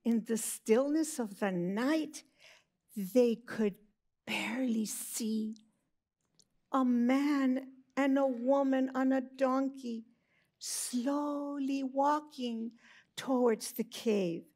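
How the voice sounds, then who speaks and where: A woman narrating a scripted Christmas story for children, expressive, with drawn-out emphasis. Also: sits high.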